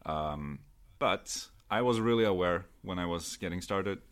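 Deep soft-spoken Norwegian man. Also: measured pace, clear, resonant tone. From Norway. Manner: soft-spoken, hesitant with many fillers. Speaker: guest, audio creator. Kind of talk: casual interview chat.

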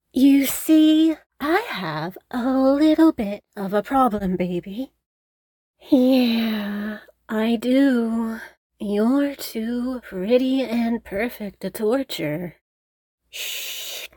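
A woman playing a doting, teasing, dominant girlfriend in an intimate roleplay audio, cooing and coaxing, sometimes slipping into soft whispers. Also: fairly high pitch, no echo. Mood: playful, coaxing, then soothing. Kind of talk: intimate scripted roleplay audio addressed to the listener.

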